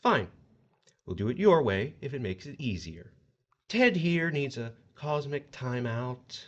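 An actor playing a smug, folksy agent of the afterlife who mockingly recites a sinner's crimes in an audio horror drama. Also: male voice, mid-range voice, sing-song, unhurried, highs cut off. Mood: folksy, impatient.

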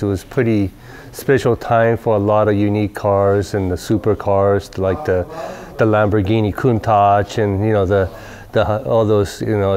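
Deep-voiced man, relaxed rambling talk. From Southern California. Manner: relaxed, rambling with many fillers. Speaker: company president. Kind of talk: casual interview. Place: large workshop garage, indoors.

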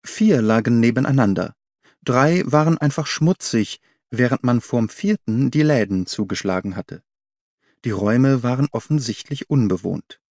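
Audiobook narrator: man as observant young governess telling her mystery, precise delivery, mid-range pitch, dry recording. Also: darkish tone, dry recording. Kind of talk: first-person audiobook narration.

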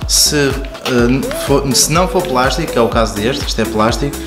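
A man's voice: medium pitch, moderate pace. Guy from a product review, european portuguese accent, explaining stuff casually, echoey room, music playing behind. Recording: roomy echo with music behind. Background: background music.

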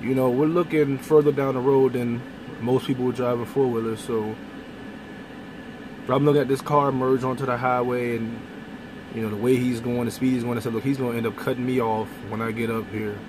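Young male trucker, blunt talker, phone-recorded in the cab with steady road noise. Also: mid-range voice, a little fast. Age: young adult. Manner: blunt, animated, with mild cursing. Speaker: truck driver vlogger. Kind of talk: casual vlog monologue. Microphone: phone camera close up. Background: vehicle and air-conditioning hum.

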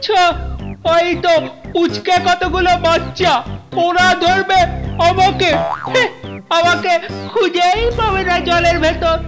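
Cartoon child turtle: very high voice, cocky, stubborn and grumbling, light music underneath. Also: female voice, level tone, slow. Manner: defiant, dismissive, grumbling. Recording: music behind the voice.

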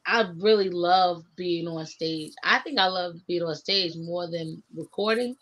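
A woman's voice: fairly low pitch, a little slow, fairly bright tone. A middle-aged woman, a rap artist, chatting earnestly and reflectively on a video call from a home studio. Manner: earnest, reflective, laughs often. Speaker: rapper guest. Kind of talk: casual video call chat. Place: home studio room with framed records. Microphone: webcam on video call. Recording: dull lo-fi video call audio.

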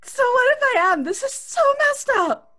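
An actress playing a distraught, exasperated younger sister in a horror audio drama, emotional and protesting. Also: female voice, very high voice, sing-song, normal speed, pushed and bright, exaggerated voice. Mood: upset.

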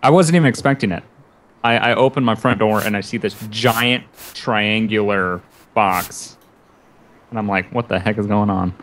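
A man's voice: on the low side, moderate pace, a bit bright, slight background noise. A man chatting casually and jokingly with friends on a video call, often repeating his phrases. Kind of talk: casual amused group chat. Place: indoor room on a group video call.

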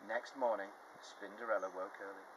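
A man in his fifties reading a children's picture book aloud, phrasing it deliberately and expressively. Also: fairly low pitch, steady tone, fairly bright tone, poor audio. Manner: deliberate, clearly phrased storytelling for young children. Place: outdoors in a leafy garden. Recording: outdoor ambient noise behind.

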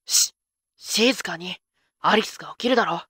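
A woman's voice: lowish voice, moderate pace, bright, forceful tone, no room sound, clean studio sound. A woman playing a careless, tomboyish young witch in an anime-style fan voice drama, talking in a casual boyish way. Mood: carefree. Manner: casual, boyish rough speech.

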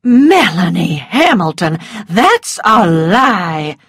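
A woman reads as a bossy older matron, loud and indignant, scolding everyone in sight. The voice is a little raised, and it sits dry and close. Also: on the high side, very animated, unhurried, no echo, voice pushed up, full character voice. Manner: scolding, commanding.

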